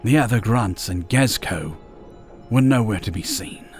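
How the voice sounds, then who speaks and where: Male narrator for fantasy drama: measured, very unhurried, expressive delivery, clear music bed underneath. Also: fairly low pitch. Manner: measured, deliberate storytelling.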